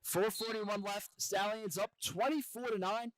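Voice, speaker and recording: A man's voice: high voice, fairly even intonation, measured pace, a bit bright. A man doing live play-by-play commentary of a basketball game for a TV broadcast.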